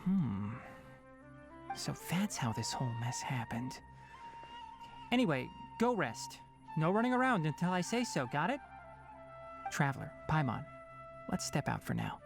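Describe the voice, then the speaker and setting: A woman playing a brisk, stern but caring young male forest watcher in a video game, precise and no-nonsense. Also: sits low, sing-song, music in the background, full character voice. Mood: questioning, decisive.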